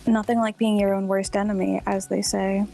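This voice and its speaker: An actress playing a dry, skeptical radio co-host in a radio play, delivering wry, deadpan remarks. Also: female voice, medium pitch, moderate pace, creaky, soft background music, natural voice.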